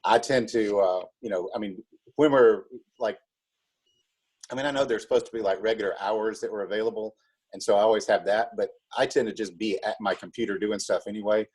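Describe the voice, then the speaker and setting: A man's voice: lowish voice, fairly even intonation, a little fast, darkish tone, slightly rough. An American man, a drama teacher, chatting casually as an interview guest in a rambling, wry, easygoing way.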